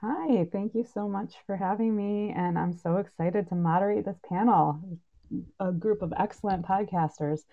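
A woman's voice: mid-range voice, normal speed. A woman moderating a panel in a casual, unscripted way. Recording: slightly dull lo-fi online audio.

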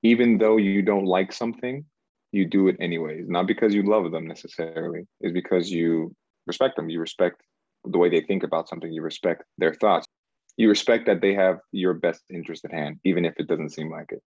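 Man in his thirties, very deep voice, gravelly, hesitant and searching, dull dry lo-fi sound. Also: fairly even intonation, brisk, darkish tone, no room sound. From Cameroon. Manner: searching, hesitant, repeats himself and says "like" often. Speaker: interview guest. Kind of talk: reflective conversational interview.

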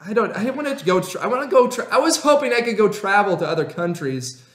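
A young man co-hosting a podcast in casual chat, rambling and excitable, laughing often. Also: high voice, quick pace. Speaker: podcast co-host. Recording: roomy echo.

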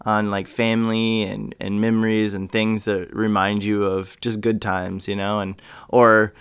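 A man's voice: fairly low pitch, level tone, measured pace, fairly bright tone, clear, resonant tone, no room sound. A man hosting a podcast, asking rambling questions with many fillers. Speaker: podcast host. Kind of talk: casual interview chat.

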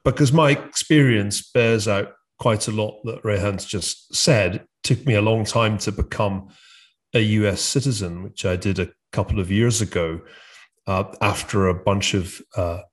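Soft-spoken British man, measured. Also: fairly low pitch. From United Kingdom. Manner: measured, hesitant with frequent uhs and restarts. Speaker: podcast host. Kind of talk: conversational discussion.

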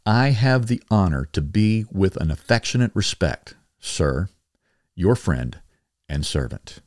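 A man with a slightly low voice reads old correspondence as a formal podcast narration. His delivery is measured and oratorical, with wide, animated rises and falls in pitch. The recording is dry and close, free of room sound. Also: dry recording. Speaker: podcast host reading historical letters aloud. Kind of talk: formal scripted reading of 18th-century letters.

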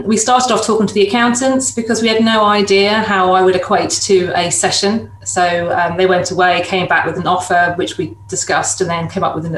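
Female practice manager on a conference panel; measured, hesitant, slightly low pitch, reverberant room. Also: slight background noise. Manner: hesitant, many ums, measured. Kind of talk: panel discussion.

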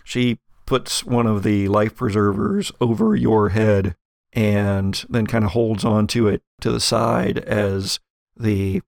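Gravelly low-voiced man narrating a game. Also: dry recording, clean studio sound. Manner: halting, conversational storytelling. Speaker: game master. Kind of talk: improvised tabletop game narration.

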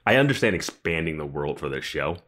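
A man's voice: a bass voice, expressive, brisk, a bit bright. A young man co-hosting a casual podcast, joking around and laughing often while chatting about a show. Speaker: podcast co-host. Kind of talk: casual chat.

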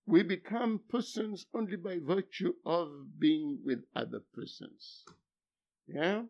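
An older man, a philosophy professor whose first language is Luo, speaking haltingly in an interview, then pressing with emphatic rhetorical questions. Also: high-pitched, animated, gravelly. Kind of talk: academic interview. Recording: dull lo-fi recording.

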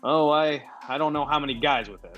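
An actor playing an affable, tough-talking movie gangster star in an old-time radio comedy, with slangy, stammering speech. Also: male voice, on the high side, fairly quick, a bit bright, lightly acted. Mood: brisk, conspiratorial. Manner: slangy, clipped, hesitant stammers. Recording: dull lo-fi audio with music behind.

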